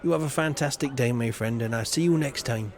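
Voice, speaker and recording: A man's voice: mid-range voice, fairly quick, darkish tone. A man streaming a farming game, talking to chat in a put-on rustic farmer voice, rambling and joking. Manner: puts on a rustic backwards-farmer voice; jokey and rambling, greets chatters by name. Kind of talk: chatty in-character streaming with chat.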